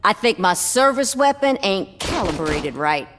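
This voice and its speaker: A tough, shaken policewoman in a video game. Her voice sits at a medium pitch, and she talks in a guarded, colloquial, plain-spoken way. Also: very animated, a little slow, over music.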